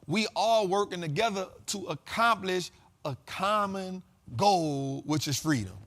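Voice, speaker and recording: A man's voice: high-pitched, lively intonation, measured pace, fairly bright tone. A man hosting a finance talk show, speaking in a casual, slangy way and building up repeated lines like a preacher. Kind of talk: casual motivational monologue.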